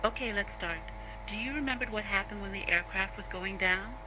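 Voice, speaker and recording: A woman playing a calm, businesslike interviewer, putting questions to a witness in a scripted horror drama. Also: medium pitch, fairly quick, lightly acted. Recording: noticeable hum and noise.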